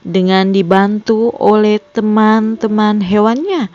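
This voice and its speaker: A woman narrating a film recap in a slow, measured, scripted reading style. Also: mid-range voice, darkish tone, dull audio.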